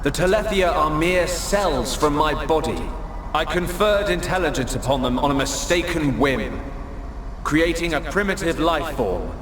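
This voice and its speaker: Slow, echoing arrogant god. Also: male voice, a little high, expressive, noisy background. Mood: lofty, contemptuous. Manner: pompous, measured, condescending. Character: arrogant godlike creator, male, serene and contemptuous. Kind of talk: dramatic game cutscene acting. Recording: echoing voice under loud score.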